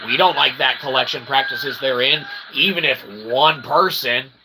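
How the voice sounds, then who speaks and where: A man giving rambling, irreverent opinion commentary in wordy, repetitive, slangy phrasing, often checking in with a quick tag question. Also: medium pitch, fairly even intonation, noisy background. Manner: punctuates points with "okay?" and "all right?". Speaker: host of a commentary channel.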